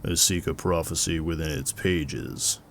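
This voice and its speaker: A man's voice: rumbling low, steady tone, unhurried, a bit bright, slight background noise, heavily acted. A man voicing a tall, scarred warrior who speaks curtly and coldly. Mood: grim. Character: tall scarred male warrior, grim and terse.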